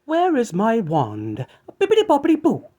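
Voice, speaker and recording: A man's voice: very high voice, huge pitch swings, normal speed, mellow, voice pushed up, heavily acted. A man voicing a whimsical, kindly older fairy godmother for a children's story. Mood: flustered, magical.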